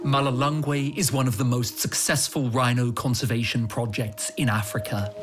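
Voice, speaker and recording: Brief: male reporter, mid-pitched voice, earnest measured narration, music bed underneath. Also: fairly even intonation. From Australia. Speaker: foreign correspondent. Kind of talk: scripted documentary narration.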